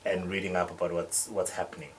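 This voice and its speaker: Male radio host, very low voice, friendly, laughs easily; slight noise behind. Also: flat delivery, quick pace, distant mic, poor audio. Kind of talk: radio interview. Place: radio studio.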